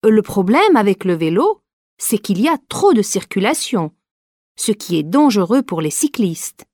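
A slow-spoken young woman. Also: mid-range voice, big pitch swings, a little mellow. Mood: earnest. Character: young woman student talking about the environment. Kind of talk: scripted exam listening dialogue.

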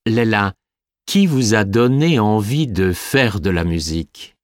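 A man playing a slow, polite interviewer. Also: fairly low pitch, expressive, no room sound, clean studio sound. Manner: slow, deliberate questioning. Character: male interviewer questioning a singer. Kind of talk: scripted exam dialogue.

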